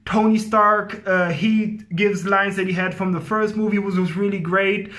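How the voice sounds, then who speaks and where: High-voiced man rambling through an effusive review. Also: steady tone, moderate pace, fairly bright tone. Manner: effusive, rambling, repeats himself. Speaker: film review host. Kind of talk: casual excited movie review monologue to viewers.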